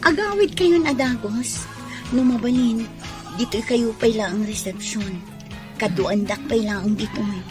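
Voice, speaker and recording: An actress playing an unhappy, resentful young bride in a radio drama, with an Ilocano accent. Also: female voice, on the high side, a little slow, music in the background, natural voice. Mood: curt, upset.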